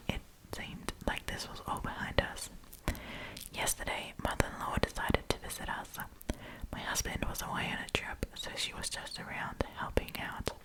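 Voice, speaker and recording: Whispering woman, ASMR storyteller. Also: low-quality recording. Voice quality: soft unvoiced whisper. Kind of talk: whispered ASMR story reading with casual asides.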